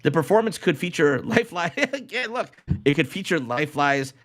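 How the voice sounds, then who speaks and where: A man's voice: fairly high pitch, very animated, fairly quick, a bit bright. A middle-aged man co-hosting a podcast in casual, rambling chat, circling back and repeating his phrases. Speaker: podcast co-host.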